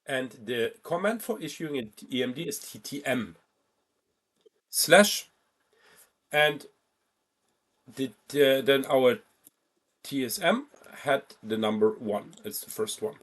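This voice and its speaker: A man giving an informal step-by-step software tutorial as an instructor, halting at times and stressing key points by repeating them. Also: medium pitch, animated. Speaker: travel booking software instructor.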